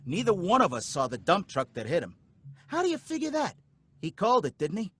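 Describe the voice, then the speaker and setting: A man's voice: high-pitched, wildly swooping pitch, a little fast, a bit bright, slightly rough, exaggerated voice. A man playing a jittery, folksy cab driver. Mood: wry. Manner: rambling, nervous, folksy street talk. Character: jittery working-class male cab driver narrating. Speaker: narrator. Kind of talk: radio drama acting.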